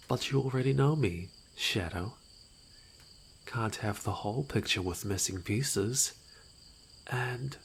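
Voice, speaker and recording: A man's voice: mid-range voice, gravelly. A man playing a smug, nonchalant rogue informant in a fantasy audio roleplay, with a theatrical, mocking, playfully menacing way of talking. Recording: noisy outdoor night ambience with crickets. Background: crickets and night insects.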